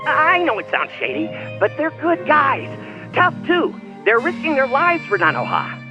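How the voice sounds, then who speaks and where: A man's voice: extremely high pitch, wildly swooping pitch, moderate pace, full character voice. An actor playing a friendly, flustered man heard through a phone-call filter in an English game dub. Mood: friendly, casual. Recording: dull phone-call sound, music behind.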